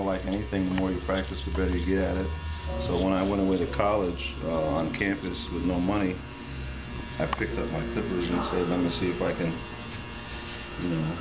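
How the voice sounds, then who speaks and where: Male shop owner, low voice, casual interview delivery, dulled sound with loud music. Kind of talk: interview answers, casual and reflective. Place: inside a busy barber shop. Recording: echoey, dull audio under loud music.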